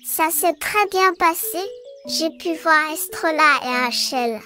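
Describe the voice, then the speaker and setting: Bright, high-voiced little girl, played by a woman. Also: takes it slowly, soft background music, exaggerated voice. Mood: happy, agreeable. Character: eager, obedient little girl talking to her mother.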